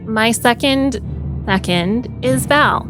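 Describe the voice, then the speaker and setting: A woman's voice: a little high, slow, over music, voice pushed up. A woman playing a flippant, casually sarcastic axe-wielding warrior in a fantasy tabletop game. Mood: dry, insistent.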